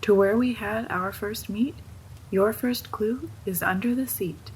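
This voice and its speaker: A woman's voice: medium pitch, measured pace, slight background noise, natural voice, poor audio. A woman playing an affectionate, playful wife reading out her notes to her husband in a narrated story. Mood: fond, playful.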